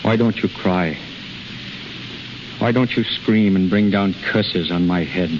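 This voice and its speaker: A man's voice: sits low, slow. An actor in a 1930s radio drama playing a proud, tormented healer, urgent and commanding, often repeating his words. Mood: bitter. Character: intense, proud, tormented male self-taught healer. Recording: noisy, dull 1930s radio recording.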